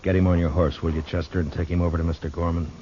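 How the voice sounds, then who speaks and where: Western radio marshal male actor: deep, clipped, grim American delivery, muffled old recording. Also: flat delivery, very fast, warm tone, slight background noise, lightly acted. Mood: terse, watchful. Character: stern, weary frontier marshal in the American West. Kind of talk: 1950s radio western acting and first-person narration.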